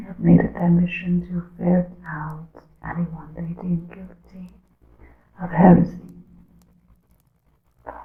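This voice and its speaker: A woman voicing a hunted witch in a breathy ASMR whisper, solemn and deliberate, with archaic formal phrasing as she secretly warns her coven. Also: on the low side, roomy sound, phone-quality sound. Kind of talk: ASMR whispered roleplay monologue.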